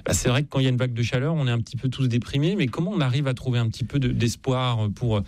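Brisk male radio host, dry sound. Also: medium pitch, a little mellow, no room sound. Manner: brisk, interjecting. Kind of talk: radio interview.

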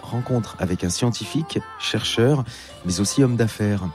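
A man's voice: on the low side, normal speed, a little mellow, soft background music. A male radio host leading an interview and framing his questions in a conversational, filler-heavy way.

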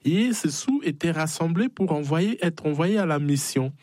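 A man's voice: fairly high pitch, expressive, normal speed, darkish tone, no echo, clean studio sound. A man from the Democratic Republic of the Congo with a Congolese French accent, speaking as a missionary priest in a conversational interview, hesitant and often adding small affirming tags. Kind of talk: conversational interview, personal testimony.

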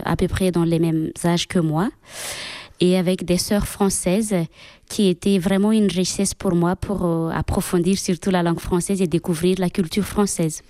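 A religious sister from Madagascar, a Malagasy speaker, answering interview questions in French with many pauses and fillers. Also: female voice, lowish voice, dark tone, no room sound. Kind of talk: radio interview.

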